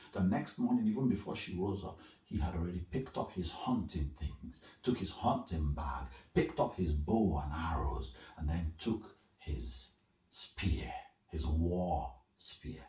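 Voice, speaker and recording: A deep-voiced male storyteller from Nigeria tells a folktale in a roomy, echoing space. He takes his time with theatrical pauses, and his pitch moves expressively for suspense. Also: a little mellow. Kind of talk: dramatic oral storytelling of a folktale. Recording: echoey room, distant from the mic.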